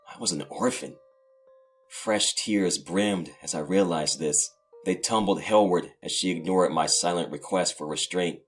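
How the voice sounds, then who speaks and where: A grief-stricken young man played by a male narrator tells his own tragedy in a low voice. The delivery is brooding and dramatic, in the style of a horror audio drama, with soft music underneath. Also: husky.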